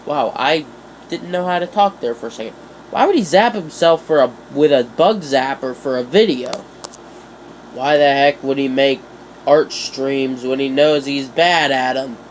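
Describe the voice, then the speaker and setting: A man's voice: mid-range voice, unhurried, a little hiss or hum, poor audio. A young man with a stuffy nose from a cold, rambling casually and jokingly to his channel viewers. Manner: rambling, jokey, self-mocking asides. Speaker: YouTuber. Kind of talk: casual vlog talk.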